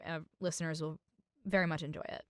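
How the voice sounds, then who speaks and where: Woman guest with a low voice, dry close sound, appreciative casual chat. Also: level tone, quick pace, fairly bright tone, no room sound. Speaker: guest, voice actor. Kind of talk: casual podcast conversation.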